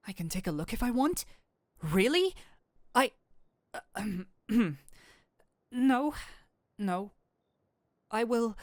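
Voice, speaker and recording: Woman performing a flirtatious demon, secretly geeky, unhurried pace, theatrical formal phrasing, easily flustered, intimate roleplay audio, dry close recording. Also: mid-range voice, no echo. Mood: reluctant, tempted. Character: flirtatious incubus demon, secretly an excitable tabletop-game nerd. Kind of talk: scripted roleplay audio addressed to the listener.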